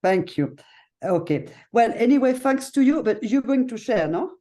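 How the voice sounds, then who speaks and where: Woman, middle-aged, French accent, mellow tone, chatty, video call. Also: on the high side, lively intonation, moderate pace. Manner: chatty, frequent fillers and tag questions. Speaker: hotel owner presenting. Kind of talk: casual video call presentation.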